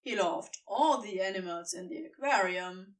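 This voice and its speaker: A woman narrating scripted fiction as an audiobook reader, with expressive storytelling and deliberate phrasing. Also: mid-range voice, distant mic.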